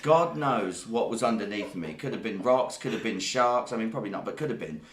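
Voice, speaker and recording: A man's voice: on the low side, lively intonation, fairly quick. A Greek-born man, hosting a live stream and spinning a rambling, jokey tall tale. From Greece. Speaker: stream host. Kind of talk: comedic live stream chat. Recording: roomy echo.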